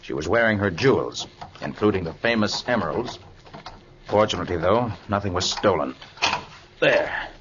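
A muffled, hissy old radio recording of a man acting a confident detective. His low voice swings expressively as he puts brisk, inquisitive questions. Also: expressive, lightly acted. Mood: matter-of-fact. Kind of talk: 1940s radio drama acting.

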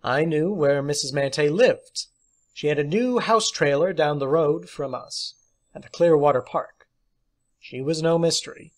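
A man's voice: a little high, a little slow. A man reading a short story aloud as scripted audiobook narration. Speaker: audiobook reader.

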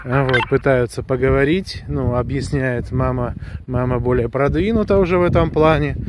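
A man talking casually to viewers while walking outdoors, explaining things with drawn-out hesitations. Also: mid-range voice, noisy background. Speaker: vlogger reviewing a gadget. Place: outdoors on a woodland path, walking. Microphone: handheld camera or phone held close while walking.

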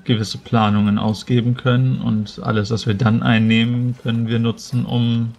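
A man's voice: medium pitch, flat delivery, normal speed, vocal fry, darkish tone. A man speaking in character in a tabletop roleplay, with polite, genteel wording. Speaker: tabletop role-player.

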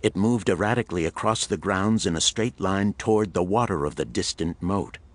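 A man's voice: low-pitched, normal speed, no room sound. A man narrating a pulp thriller audiobook in a dramatic, suspenseful storytelling manner.

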